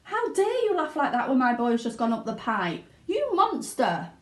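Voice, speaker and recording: A woman's voice: sits high, wildly swooping pitch, moderate pace, pitched above the performer's own voice. A woman reading a children's storybook, voicing a hysterical, bossy mother in a shrieking, indignant manner. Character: shrill, hysterical, bossy mother of a greedy boy. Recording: roomy indoor echo.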